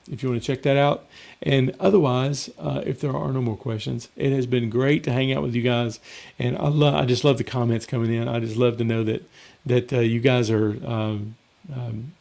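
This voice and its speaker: A man hosting a casual live Q&A as a mixing coach, slightly hoarse and rambling in a conversational way. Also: medium pitch, a little mellow. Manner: rambling and conversational, with frequent fillers and restarts. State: slightly hoarse, losing his voice. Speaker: mixing coach and show host.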